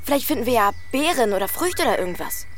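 A woman playing a gentle, eager schoolgirl, an older sister, in a children's audio drama. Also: on the high side, very animated, quick pace, faint music behind.

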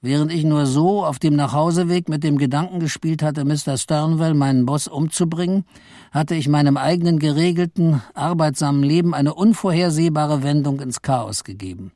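An actor playing a weary, self-mocking office clerk who narrates a German radio play. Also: male voice, a little high, normal speed, mellow, no room sound, studio quality, lightly acted.

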